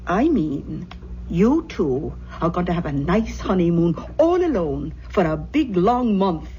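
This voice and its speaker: An actress playing a kindly, firm older Scottish aunt in a radio drama, chatty, affectionate and coaxing. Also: female voice, on the low side, big pitch swings, a little slow, warm tone, lo-fi sound. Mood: soothing, brisk. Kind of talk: 1970s radio drama acting. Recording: noisy old radio broadcast recording.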